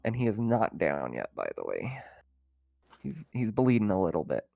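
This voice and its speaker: A man's voice: on the low side, wildly swooping pitch, normal speed, gravelly, dark tone, no room sound. A man, working as game master, narrating and refereeing an online tabletop game in casual, colloquial language.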